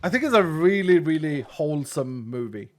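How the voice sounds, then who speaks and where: A man's voice: on the high side, very animated, normal speed. Chatty Swedish-accented man streaming. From Sweden. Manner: chatty, self-deprecating, laughs often, trails off mid-sentence. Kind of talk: casual chat while gaming, reading chat.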